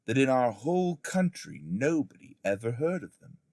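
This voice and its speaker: A man's voice: mid-range voice, very animated, moderate pace, a little mellow, dry recording, voice pushed up. A man voicing a frightened, bewildered kidnapped boy in a storybook, asking anxious questions.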